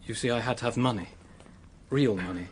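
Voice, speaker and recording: A man's voice: mid-range voice, fairly quick, a little hiss or hum, lightly acted. An actor in a radio drama playing a desperate, remorseful young man, speaking in an earnest, pleading way. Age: young adult. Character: desperate, remorseful young male clerk confessing to a killing.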